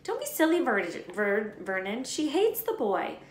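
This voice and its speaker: A woman puts on a fussy, sharp-tempered mother from a storybook. Her pitch is raised and she can coo dotingly or snap. The sound is roomy and echoey. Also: wildly swooping pitch, unhurried. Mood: snappish. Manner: cooing, snappish.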